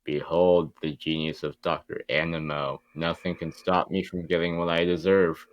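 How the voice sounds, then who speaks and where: A low, grandiose mad scientist played by a man at a table read declaims like a cartoon villain. He is pompous and gloating, on a close, dry recording. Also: flat delivery, moderate pace, no echo, lightly acted.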